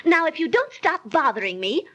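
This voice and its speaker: An actress playing an impatient, inquisitive older sister, earnest and theatrical in 1930s radio drama style. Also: female voice, sits high, sing-song, moderate pace, pushed and bright, heavily acted. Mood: annoyed.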